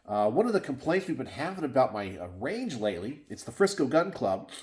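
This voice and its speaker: A man's voice: mid-range voice, very animated, brisk, a little mellow. A middle-aged man from Texas, hosting his gun channel in a casual solo chat from his garage. He sounds stuffed up and sniffly and rambles, with many fillers and restarts. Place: home garage.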